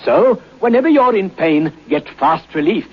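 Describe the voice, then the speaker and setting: A male radio advert announcer with a high, husky voice. He gives an assured sales pitch in vintage commercial style. The sound is a little muffled. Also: expressive, measured pace. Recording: dull vintage radio recording.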